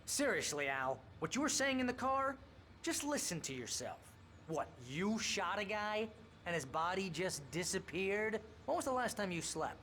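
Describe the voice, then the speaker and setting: A man playing a fast-talking, nervy, exasperated best friend in a video game, wisecracking and pleading dramatically. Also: high voice, sing-song, pushed and bright, slight background noise, heavily acted.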